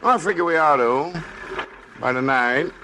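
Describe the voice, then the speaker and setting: An actor playing a stubborn, unhurried rustic builder, folksy and deadpan, in a 1950s radio comedy. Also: male voice, on the high side. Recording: noisy old 1950s radio recording.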